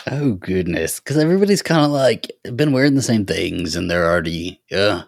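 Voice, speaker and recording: A man's voice: low-pitched, lively intonation, moderate pace, creaky. A man guesting on a casual fan podcast, thinking aloud in a hesitant way.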